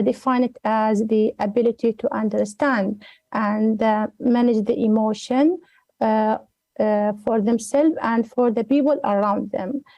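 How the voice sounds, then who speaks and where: Emirati woman explaining slowly, mellow and dry. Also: on the high side, no echo, lo-fi sound. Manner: careful, methodical, many fillers. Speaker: guest, executive coach. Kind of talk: explaining a concept in an interview.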